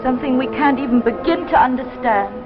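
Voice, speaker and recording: A dull vintage radio recording with music underneath, in which a woman plays a worried wife. Her voice is high, earnest and firm, with a pleading edge. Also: a little fast, lightly acted. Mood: stern. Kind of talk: 1950s radio drama acting.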